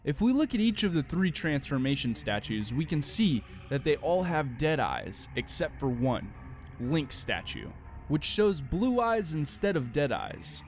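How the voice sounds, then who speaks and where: Male video essay narrator, scripted and explanatory, animated pitch, faint music underneath. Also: measured pace.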